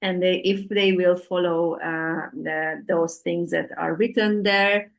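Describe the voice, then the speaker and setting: Slow halting woman. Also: on the low side, steady tone, vocal fry. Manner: slow and halting, frequent ums and uhs. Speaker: tour guide answering audience questions. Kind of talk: hesitant Q&A answers, many fillers.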